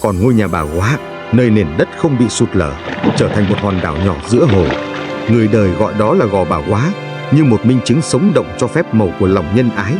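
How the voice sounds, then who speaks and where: A man's voice: fairly low pitch, lively intonation, a little fast. A man telling a folk tale as a scripted storytelling narration. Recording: music bed under the voice. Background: music.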